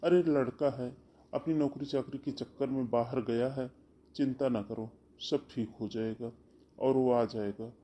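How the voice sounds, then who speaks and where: A man's voice: mid-range voice, steady tone, unhurried, mellow, slight background noise, lightly acted. A man voicing a kindly middle-aged brother in a cartoon who reassures his sister soothingly. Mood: reassuring. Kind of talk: cartoon voice acting.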